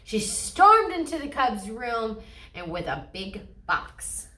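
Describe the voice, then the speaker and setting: A woman reads a children's picture book aloud as a storyteller. Her delivery is expressive, her voice a bit high, and the room adds a clear echo. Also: slow, recorded from a distance. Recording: echoey room.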